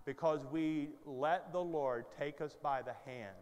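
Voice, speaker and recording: Man in his fifties, pastor, headset mic, higher voice, long hall reverb, conversational then urgent. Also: unhurried, a little mellow, clear, resonant tone. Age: 50s. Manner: conversational, rising to urgent exhortation; paces the stage gesturing. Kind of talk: sermon. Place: large church stage with hall reverb. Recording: hall reverb from large sanctuary.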